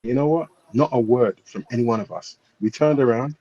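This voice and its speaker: Husky man telling stories on a dull line. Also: mid-range voice, a little fast, mellow. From England. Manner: anecdotal, checks in with listeners, drops his voice then rises. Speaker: panel guest. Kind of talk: storytelling in a panel discussion.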